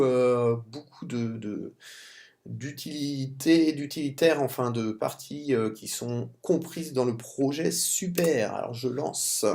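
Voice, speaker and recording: Brief: male tutorial presenter with a French accent, stuffy with a cold, mellow dark tone, halting delivery. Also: mid-range voice. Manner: halting, stumbles and restarts words. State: has a cold. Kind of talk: screen-recorded coding tutorial.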